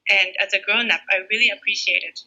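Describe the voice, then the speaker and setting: A middle-aged woman from Japan, raised in the United States, a preschool director giving thoughtful interview answers with many pauses. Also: medium pitch, steady tone, bright, forceful tone. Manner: thoughtful, pauses often with fillers. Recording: muffled lo-fi audio.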